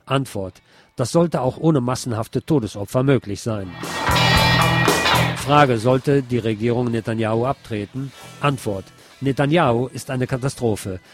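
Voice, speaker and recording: A man's voice: medium pitch, unhurried. A man reads a published interview aloud as a radio host, formally announcing questions and answers. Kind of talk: scripted reading of a published interview. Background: loud guitar music.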